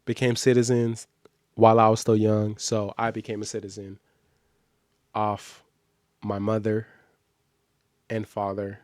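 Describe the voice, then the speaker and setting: A man's voice: lowish voice, monotone, a little slow, long pauses, darkish tone, dry recording, studio quality. A man from Nigeria who grew up in the United States, with an American accent, reacting to a video as a streamer in casual slang and repeating phrases for emphasis. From born in Nigeria, grew up in the United States.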